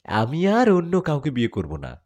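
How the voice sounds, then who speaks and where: A man voicing a gentle young woman in a spoken story. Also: fairly high pitch, wildly swooping pitch, normal speed, highs cut off, pitched above the performer's own voice. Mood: tender.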